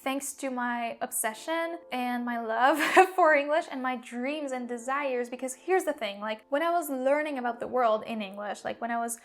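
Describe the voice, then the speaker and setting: A woman's voice: high-pitched, moderate pace, few pauses. A young woman with a slight Polish accent, a language mentor talking earnestly about her own learning, with lots of "like" fillers. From Poland. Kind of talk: personal vlog monologue.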